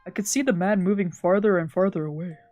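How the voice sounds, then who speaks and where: A woman streamer reading a visual novel aloud in expressive, dramatic narration with casual asides. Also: on the low side, fast talker. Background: game background music.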